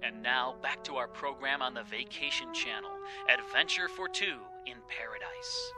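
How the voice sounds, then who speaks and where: An actor playing a polished, persuasive television commercial announcer, delivering a coaxing scripted sales pitch in a radio drama. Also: male voice, moderate pace, music in the background, close to an everyday voice.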